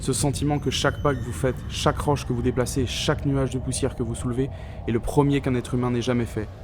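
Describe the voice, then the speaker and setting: A man's voice: mid-range voice, little pitch movement, quick pace, natural voice. A young man playing a composed astronaut on Mars, narrating a formal mission log for a sci-fi audio drama. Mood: composed, awed. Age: young adult. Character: male astronaut on Mars, awed yet composed. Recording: clearly noisy, outdoor vehicle-like ambience.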